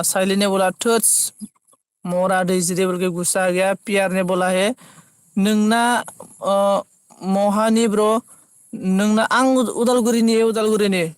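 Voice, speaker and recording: High-pitched man, halting livestream chatter. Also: smooth, dry recording. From Assam, India. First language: Bodo. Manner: halting, repeats phrases as he reads. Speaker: live streamer. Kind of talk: casual live chat, reading out comments.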